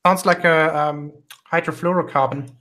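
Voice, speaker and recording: A man's voice: on the high side, steady tone, moderate pace, sharp tone. A man hosting a casual online voice chat, curious and matter-of-fact. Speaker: host.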